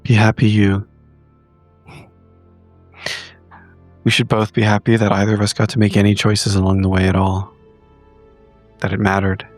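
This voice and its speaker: A man playing a grown daughter, speaking in a gentle, earnest and consoling way. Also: lowish voice, monotone, a little fast, soft background music, natural voice. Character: tender, reassuring grown daughter.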